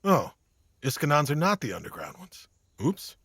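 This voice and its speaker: Casting: seasoned fantasy hero, tired but kind, wry delivery, lively intonation, some rasping creak. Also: male voice, a little high, moderate pace, no room sound, clean studio sound, full character voice. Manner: wry, candid, gently reassuring. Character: weary, kind, self-deprecating male monster-slaying hero. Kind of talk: audio drama acting.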